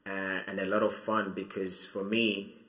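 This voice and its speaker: A man's voice: low-pitched, flat delivery, a little slow, pushed and bright, roomy sound. A young man from Zimbabwe, a student, answering interview questions about his life in a thoughtful, hesitant way with many restarts. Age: 20s. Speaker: guest.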